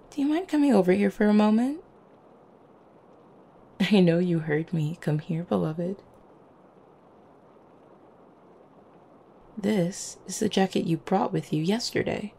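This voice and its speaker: A woman playing an affectionate, playful vampire girlfriend in an intimate ASMR roleplay, teasing gently with small hesitant stammers. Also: fairly low pitch, vocal fry. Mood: flustered, amused. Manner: soft-hearted, teasing, hesitant little stammers and chuckles.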